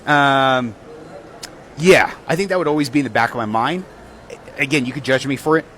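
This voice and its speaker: A man's voice: medium pitch, normal speed, a bit bright. A Mexican American man giving blunt, frank opinions in a casual vlog, speaking outdoors on a city street.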